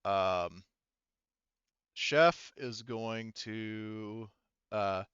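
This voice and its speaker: Man, game master, low smooth voice, halting tabletop narration, dry close headset sound. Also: fairly bright tone, dry recording, highs cut off. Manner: halting, thinking aloud.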